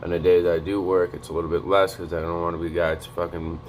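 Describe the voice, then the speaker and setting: A young man giving a casual, profane motivational vlog, speaking low and quiet to avoid waking a neighbor. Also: flat delivery, quick pace, slight background noise. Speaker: vlogger. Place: home in North Dakota.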